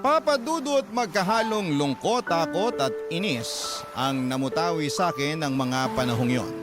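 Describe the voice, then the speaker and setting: A man's voice: on the high side, animated, normal speed. Male narrator for radio drama: heartfelt first-person letter reading over prominent music.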